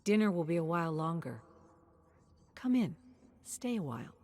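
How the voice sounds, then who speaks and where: A woman voicing a calm, accepting mother in a story, reassuring and unhurried. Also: lowish voice.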